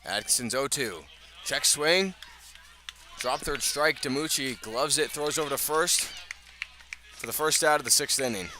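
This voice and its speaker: A man doing live radio baseball play-by-play, speaking in measured short phrases with pauses between them. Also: mid-range voice, sharp tone, a little hiss or hum. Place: outdoors at a ballpark.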